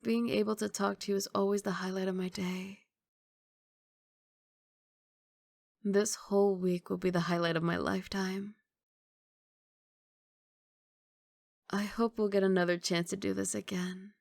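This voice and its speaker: Casting: actress as wistful female mafia boss girlfriend for ASMR roleplay. Soft, gravelly, guarded but loving, very dry close sound. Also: mid-range voice, little pitch movement, brisk, hardly any pauses, no echo, natural voice. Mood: tender, wistful. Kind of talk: intimate ASMR roleplay monologue.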